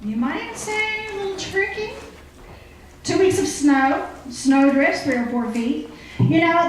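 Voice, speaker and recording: A woman's voice: sits high, slight background noise. A woman delivers a folksy comic commentary. She is conversational and a little coy, and the live stage recording is heavily echoed. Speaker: comic radio-show commentator. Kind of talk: live humorous monologue.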